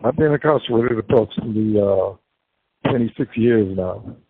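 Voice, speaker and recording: An older man speaks haltingly and devoutly, with many fillers and repeats. His voice sits fairly low. The sound is dry and tinny, as if over a phone line. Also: breathy edge, no room sound. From Bahamas. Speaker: interview guest, prisoner. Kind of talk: casual interview talk. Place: prison. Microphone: prison phone call.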